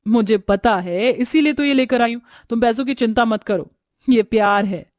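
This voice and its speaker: A woman voicing a warm, generous young sister-in-law in a family story, affectionate and teasing. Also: on the high side, moderate pace, no room sound, studio quality, close to an everyday voice. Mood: reassuring.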